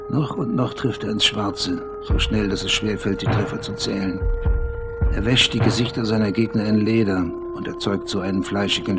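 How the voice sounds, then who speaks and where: A man narrating a radio documentary drama as a measured, precise, essayistic commentator. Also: on the low side, close to an everyday voice. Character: measured literary narrator analysing the art of boxing. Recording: loud music under the voice. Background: music.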